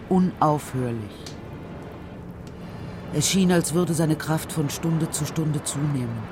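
A narrator reading a children's radio drama story in a measured, serious, literary style. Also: mid-range voice.

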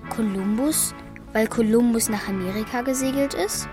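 A woman's voice: a little high, normal speed, over music, lightly acted. A woman playing a curious, matter-of-fact young schoolgirl in a children's radio play, chatty and a little defensive. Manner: plain, chatty, childlike phrasing.

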